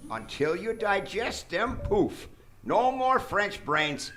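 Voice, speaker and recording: A vain, grandiose mad-scientist surgeon, played by a man, with a high, bright, forceful voice. He boasts theatrically in radio comedy style, recorded live on a theater stage with clear background noise. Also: very animated, slow pace, heavily acted. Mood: explaining, smug. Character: vain, megalomaniac mad brain surgeon, absurdly boastful villain. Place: theater stage before an audience.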